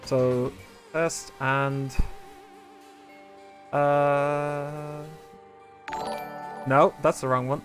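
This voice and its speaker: A man casually narrating a game stream and answering his viewers' comments, rambling and friendly. His voice sits a little high for a man. Game music plays faintly underneath. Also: level tone, drawn-out words, pauses often. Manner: chatty, rambling, talks to chat. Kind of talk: casual let's play commentary.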